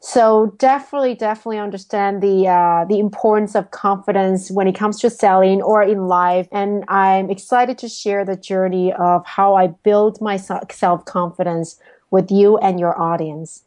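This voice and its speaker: A woman's voice: mid-range voice, fairly even intonation, normal speed, darkish tone. A woman from Taiwan, answering interview questions thoughtfully, with frequent "um"s and restarts. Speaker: guest, sales coach.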